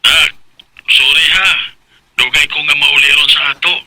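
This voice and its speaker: A man's voice: a little high, steady tone, normal speed, sharp tone. A man playing an affectionate, apologetic character in a radio drama, with a Cebuano accent. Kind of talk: Filipino radio drama acting. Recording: very noisy track with clicks.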